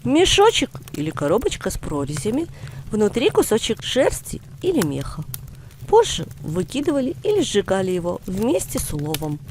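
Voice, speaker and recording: Woman reading as a wry, explaining grandfather, lively intonation. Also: mid-range voice, moderate pace, a little hiss or hum. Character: knowledgeable older grandfather, patient explainer with wry humour.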